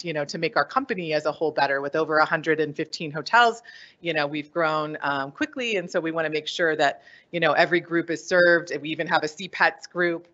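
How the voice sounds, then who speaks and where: Panelist: low, bright-toned woman listing duties, muffled room recording. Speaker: panelist, hotel general manager. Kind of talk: panel discussion. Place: hotel conference room with an audience. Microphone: handheld microphone. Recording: dull lo-fi sound.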